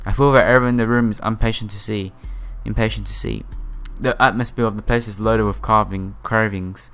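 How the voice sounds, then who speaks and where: A man's voice: on the low side, fairly even intonation, a little fast, fairly bright tone, clear, resonant tone. Informal male gamer over background music. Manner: informal, stumbles over words when reading. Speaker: gaming video host. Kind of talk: visual novel read-aloud with casual commentary. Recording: music behind the voice.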